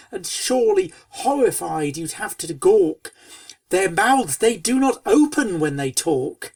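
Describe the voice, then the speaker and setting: A woman's voice: on the high side, vocal fry, darkish tone, dry recording, recorded from a distance, full character voice. A woman playing a pony storyteller who tells a spooky storybook tale in verse to young foals, with dramatic, schoolteacherly delivery. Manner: dramatic, schoolteacherly, chiding asides. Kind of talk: theatrical storybook narration in verse.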